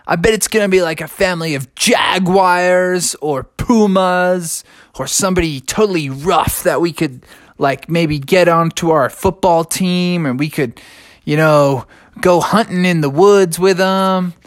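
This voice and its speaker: A storyteller voicing an excitable young boy who gushes loudly and eagerly, pitched well above the narration. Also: unhurried, no echo, studio quality, natural voice.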